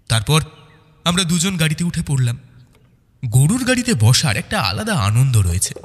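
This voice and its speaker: Male narrator for detective audio fiction: lively intonation, dramatic scripted delivery, reverberant sound over faint music. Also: medium pitch.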